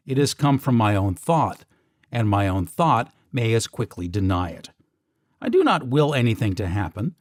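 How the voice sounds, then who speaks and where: A man narrating scripted guided meditation affirmations in a measured, declarative way. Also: medium pitch, no room sound, studio quality.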